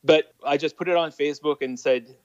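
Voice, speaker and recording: A man's voice: on the high side, fairly even intonation, a little fast, a little mellow, no room sound, lo-fi sound. Chatty man with Acadian French accent. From Nova Scotia, Canada. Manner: chatty, laughs at his own jokes. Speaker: interview guest. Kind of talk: casual storytelling interview.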